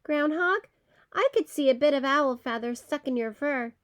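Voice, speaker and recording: Woman voicing a proud, high-voiced eagle. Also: normal speed, lightly acted. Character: proud, keen-eyed eagle wary of tight spaces.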